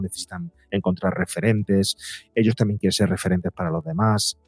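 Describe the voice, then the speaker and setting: A man's voice: lowish voice, vocal fry, no room sound. Man from explaining, dry close sound. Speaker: psychologist and podcaster, interview guest. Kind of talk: explanatory interview talk. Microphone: close podcast mic, seated at a table.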